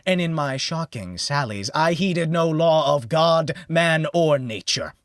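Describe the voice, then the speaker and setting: A man narrating a gothic horror audiobook in a theatrical manner that moves between hushed near-whispers and heightened exclamation. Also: a little high, expressive, a little slow, no echo, studio quality. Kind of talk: dramatic scripted horror narration.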